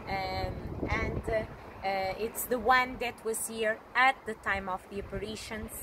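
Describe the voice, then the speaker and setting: Halting woman guide, slow delivery, recorded outdoors in heavy wind. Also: on the high side. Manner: halting, with frequent pauses and fillers. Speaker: tour guide. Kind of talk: guided church tour. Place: outdoors in a sunny church square. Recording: distant voice, loud wind noise outdoors.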